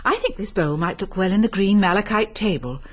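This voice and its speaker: A woman playing a genteel, guarded English upper-class lady in a radio drama, speaking politely and with measured composure. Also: medium pitch, animated, natural voice. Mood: composed, evasive.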